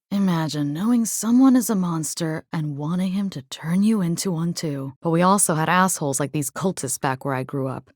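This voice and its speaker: An actress voicing a tough, caring young military rescue engineer in a video game, salty, wry and resolute. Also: female voice, low voice, no echo, studio quality, lightly acted. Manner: salty, plain-spoken, wry. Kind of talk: scripted video game character voice lines.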